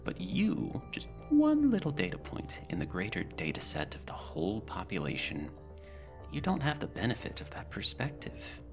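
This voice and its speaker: A man's voice: on the low side, huge pitch swings, moderate pace, creaky, over music, exaggerated voice. A man playing a politely condescending, bureaucratic mental-care technician with sinister reassurance, in an ASMR roleplay. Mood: smug, reassuring. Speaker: roleplay performer. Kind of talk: ASMR roleplay, soft clinical patter.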